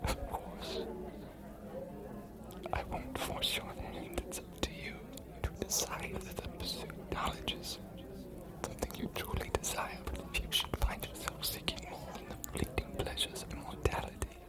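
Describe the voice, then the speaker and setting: A woman voicing a scholarly ancient vampire archivist in an ASMR fantasy roleplay, cryptic and inviting, hushed and deliberate with weighty pauses. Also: fairly low pitch, expressive. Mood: hushed, earnest.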